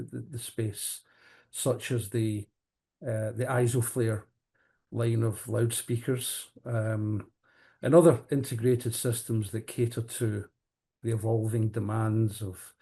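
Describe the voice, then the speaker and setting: A man with a dark, mellow voice answers interview questions in an explanatory way. He speaks haltingly, often doubling back on the first sound or word of a phrase. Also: mid-range voice. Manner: halting, hesitant, repeats word beginnings. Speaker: company executive being interviewed. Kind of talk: interview answers on professional audio products.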